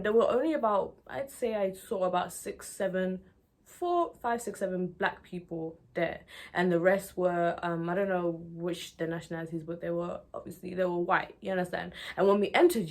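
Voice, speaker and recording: A woman in her twenties from Nigeria talks openly to her viewers as a video blogger. Her voice sits at a medium pitch for a woman. Her delivery is conversational and expressive, often checking that the listener follows. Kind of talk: candid personal talk to viewers.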